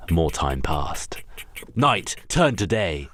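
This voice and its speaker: A man's voice: low voice, big pitch swings, takes it slowly, a bit bright, slight background noise. A man narrating an improvised comedy radio play in a theatrical, emphatic storytelling manner. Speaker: podcast narrator.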